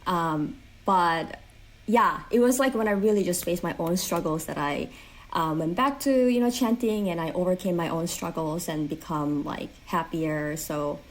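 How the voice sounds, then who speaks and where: A woman's voice: lowish voice, a little slow, a little hiss or hum, rough recording. A woman in her thirties from South Korea with a Korean accent, chatting earnestly as an interview guest, with many "like" fillers. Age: 30s. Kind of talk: casual interview chat.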